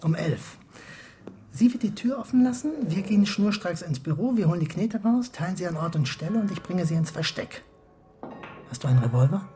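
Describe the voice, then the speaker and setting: An actor playing a streetwise young petty crook in a German radio play, slangy, brisk and conspiratorial. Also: male voice, sits high, expressive, mellow, slight background noise. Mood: cocky, terse.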